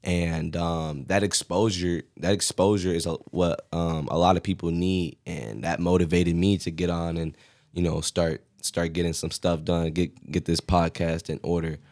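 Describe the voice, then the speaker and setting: A man with a very deep voice hosts a podcast. He speaks calmly, backs up his guests and puts questions to them. The sound is dry and close. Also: moderate pace, no echo. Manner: calm, affirming, asks questions. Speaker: podcast host. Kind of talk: casual podcast chat.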